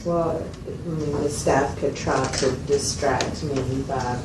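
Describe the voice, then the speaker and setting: Woman acting a very deep-voiced, plain-spoken female client. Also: fairly even intonation, slow. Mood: matter-of-fact. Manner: short, simple, matter-of-fact answers. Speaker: client in an interview. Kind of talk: role-played clinical interview. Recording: roomy, distant mic, loud background noise.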